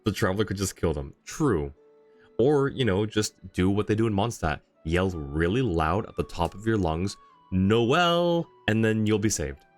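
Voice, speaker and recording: A man's voice: fairly low pitch, animated, normal speed. A man giving casual, wry livestream commentary on a video game in a conversational, chuckling way. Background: faint game music.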